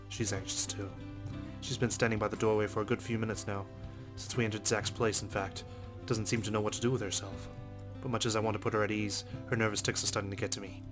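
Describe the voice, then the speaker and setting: A man hosting a let's play, reading game narration aloud with casual asides. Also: lowish voice. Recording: music behind the voice.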